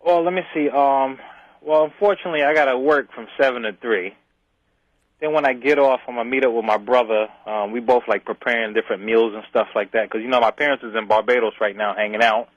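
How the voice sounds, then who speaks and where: A man's voice: medium pitch, fairly even intonation, quick pace, dry recording. Laid-back man calling in on a dull phone line. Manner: jokey, laid-back, laughs often. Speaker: caller. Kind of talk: casual phone-in chat.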